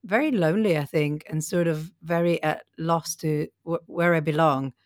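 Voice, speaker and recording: A woman's voice: low voice, normal speed, no room sound, clean studio sound. A woman from Italy interviewing in a reflective, conversational way and sharing her own experience.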